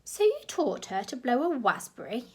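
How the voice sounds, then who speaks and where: A woman's voice: fairly high pitch, huge pitch swings, normal speed, dark tone, slightly rough, pitched above the performer's own voice, exaggerated voice. A woman voicing a stiff, achingly posh male zoo director whose R's come out as W's. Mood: pompous. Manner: pompous, thundering.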